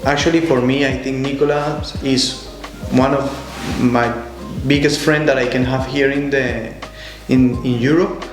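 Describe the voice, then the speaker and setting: A young adult Colombian man with a Spanish accent, speaking thoughtfully as an interview guest in halting non-native English. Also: mid-range voice, steady tone. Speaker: guest, show jumper. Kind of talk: conversational interview. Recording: echoey room, faint music.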